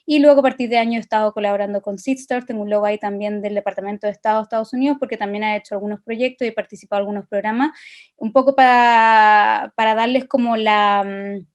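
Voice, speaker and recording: A woman's voice: medium pitch, fairly even intonation, fast talker. A woman with a Chilean Spanish accent giving an informal, friendly and chatty online class to participants on a video call. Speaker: presenter of an online masterclass. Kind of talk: informal interactive online lecture.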